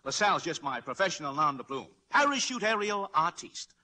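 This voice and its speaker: An actor playing a cocky, breezy carnival balloon jumper with slangy, boastful talk in 1940s radio style. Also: male voice, a little high, very animated, a little fast, bright, forceful tone, full character voice. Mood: breezy boasting.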